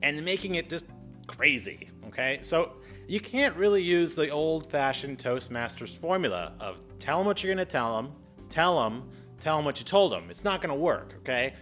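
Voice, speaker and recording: Male video host, slightly high forceful voice, casual explainer style, exclamatory, playful exaggeration. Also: lively intonation, normal speed, faint music behind. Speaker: video host giving public speaking tips. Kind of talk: casual, chatty explainer with acted-out examples.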